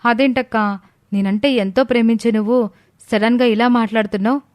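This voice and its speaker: A woman's voice: fairly high pitch, fairly even intonation, fairly quick, a little mellow, no echo, studio quality, pitched above the performer's own voice, close to an everyday voice. A woman voicing a sly younger sister-in-law in a family story who plays sweet and innocent, with a pointed, plaintive manner. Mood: wounded.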